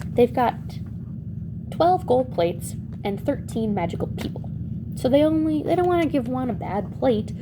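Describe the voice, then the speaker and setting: Higher-voiced woman casually telling a story, noisy recording. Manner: wry, chatty asides. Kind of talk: casual comedic retelling of a fairy tale.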